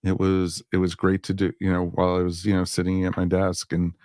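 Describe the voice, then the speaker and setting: A man's voice: sits low, completely flat delivery, brisk, mellow, dry recording, clean studio sound. A man hosting a podcast in casual chat, conversational and repetitive, often dropping in "you know". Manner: conversational, repeats himself, peppered with "you know". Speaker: podcast host.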